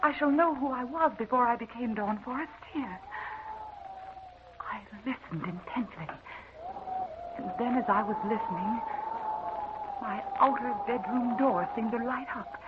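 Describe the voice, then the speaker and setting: An actress playing a tragic, haunted wife in an old radio drama, speaking with tender, earnest melodrama. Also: female voice, fairly high pitch, a little slow, long pauses, lightly acted. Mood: troubled, haunted. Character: tragic, haunted young wife with a mysterious past. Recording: muffled, noisy old radio recording.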